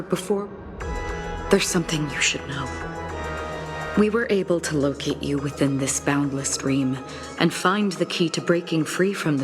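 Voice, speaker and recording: Casting: actress for calm, solemn swordswoman who guides the dead, deep female register, formal game dialogue over clear music. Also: lightly acted. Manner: measured, formal, grave. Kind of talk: dramatic video game dialogue.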